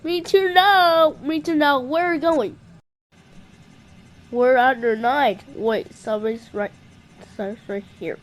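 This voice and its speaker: A woman improvising playful puppet show dialogue in an excitable, exclamatory way. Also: high-pitched, measured pace, long pauses.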